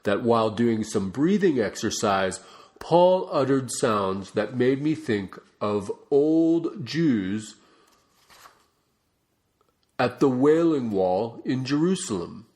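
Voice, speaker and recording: A man reading a non-fiction book aloud as a narrator, with measured, deliberate phrasing. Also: mid-range voice, expressive, a little mellow. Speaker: audiobook reader.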